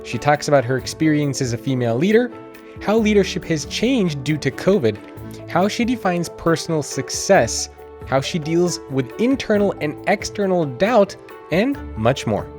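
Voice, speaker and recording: A man with a somewhat raised pitch hosts a business podcast in a smooth, promotional way. Soft music plays faintly behind him. He shifts from a scripted intro into easy interview questions. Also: sing-song, normal speed. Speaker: podcast host.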